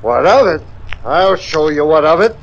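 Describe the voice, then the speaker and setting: Tough, high-pitched hostile cop. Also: male voice, lively intonation, moderate pace. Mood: threatening. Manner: gruff bullying slang. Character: tough, hostile, trigger-happy male policeman. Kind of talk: old-time radio crime acting. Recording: dull old radio recording, faint noise.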